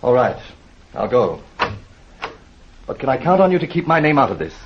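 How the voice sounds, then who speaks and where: A man's voice: mid-range voice, big pitch swings, normal speed, background noise, close to an everyday voice. An actor playing an anxious, pleading businessman in an old radio drama, agitated and worried about himself. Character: fretful, anxious businessman guarding his reputation. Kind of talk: 1940s-50s radio drama acting. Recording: dull vintage radio recording.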